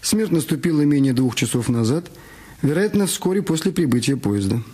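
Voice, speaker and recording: A man's voice: a little high, lively intonation, normal speed, warm tone, husky. A man reading a detective story as the narrator of a scripted radio production. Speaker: narrator of an audio drama. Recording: music under the voice. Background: background music.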